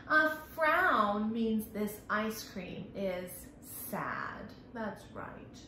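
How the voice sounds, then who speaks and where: Female children's storyteller, highly animated and unhurried, interactive and exaggerated in expression, recorded in a strongly reverberant room. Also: a little hiss or hum. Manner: animated, sing-song, exaggerated expression, asks and praises. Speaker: children's library storytime host. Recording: very echoey room.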